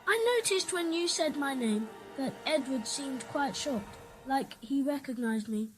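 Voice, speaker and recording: High-voiced, earnest young boy, played by a woman. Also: lively intonation, measured pace, low-quality recording. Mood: questioning. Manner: earnest, simple, questioning. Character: earnest, polite, curious young orphaned wizard boy. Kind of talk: scripted fan comic dub dialogue. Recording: music under the voice.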